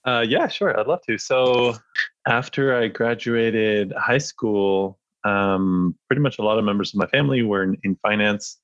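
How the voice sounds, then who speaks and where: A low-voiced man talks through his answers as a podcast guest, pausing often with fillers and restarts. He sounds thoughtful and unhurried. The recording is dry and a little dull. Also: a bit bright, no room sound. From born in France, raised in Spain. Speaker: podcast guest, data analyst. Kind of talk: casual interview talk.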